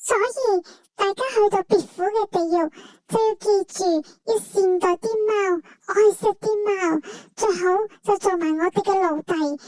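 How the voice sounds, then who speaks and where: A woman's voice: very high voice, measured pace, darkish tone, no echo, poor audio. A woman voicing a cute, cheeky, self-important cartoon cat that talks childishly and theatrically in Hong Kong Cantonese for a travel vlog. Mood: boastful. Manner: childish, theatrical, refers to itself by name.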